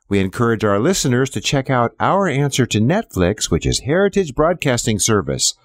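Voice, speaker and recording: A man's voice: medium pitch, no room sound. A man reading scripted announcements as the announcer of an audio program.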